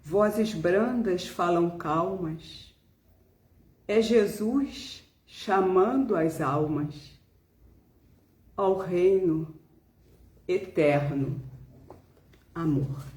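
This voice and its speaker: A Brazilian woman with a Brazilian Portuguese accent speaks as a spiritist devotional speaker, reading solemnly and deliberately with frequent pauses. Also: fairly low pitch, darkish tone, echoey room.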